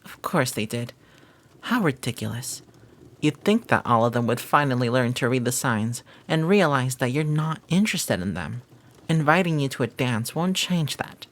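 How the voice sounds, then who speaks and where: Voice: mid-range voice, expressive, moderate pace, a bit bright. A performer playing a flirty, devoted maid who teases and gossips in formal, flowery phrasing, in a scripted romantic audio roleplay. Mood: gossipy, amused.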